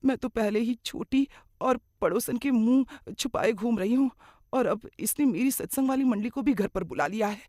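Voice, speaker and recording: A woman's voice: on the high side, normal speed, dark tone, no room sound, studio quality, pitched above the performer's own voice, lightly acted. A woman playing a middle-aged mother-in-law, kindly but grumbling and dramatic, in a moral cartoon story. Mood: exasperated.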